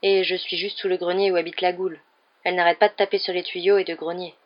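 A woman's voice: on the low side, level tone, quick pace, muffled recording, lightly acted. A woman voicing a friendly, eager young wizard boy in a storybook, casual and a little self-conscious. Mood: anxious, apologetic.

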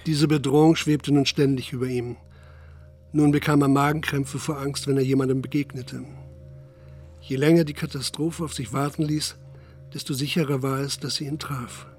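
Sober male narrator, medium pitch. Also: steady tone, moderate pace, darkish tone, faint music behind. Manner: sober, detached storytelling. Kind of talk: scripted literary narration in radio drama.

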